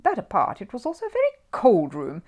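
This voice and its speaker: A woman narrates a children's storybook as an audiobook reader. Her medium-pitched voice moves with plenty of melody, and she tells the tale expressively, shaping each scripted sentence for young listeners. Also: wildly swooping pitch, brisk, a little mellow, husky.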